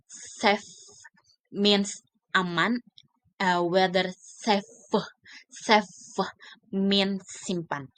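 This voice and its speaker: A young woman with an Indonesian accent speaks extremely slowly in a slightly low register. She presents like a student reading prepared lesson notes, hesitating often and placing each word carefully. The sound is dry and close, with little room echo. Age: young adult. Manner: halting, careful word-by-word delivery with frequent hesitations. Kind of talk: scripted phonetics presentation.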